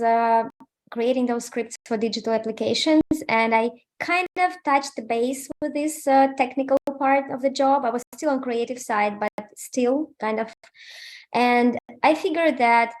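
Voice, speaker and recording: Woman in her 30s, slightly high pitch, hesitant and candid interview guest on a call. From Ukraine. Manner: hesitant, many fillers, plain and candid. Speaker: interview guest, QA automation engineer. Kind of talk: casual interview over video call.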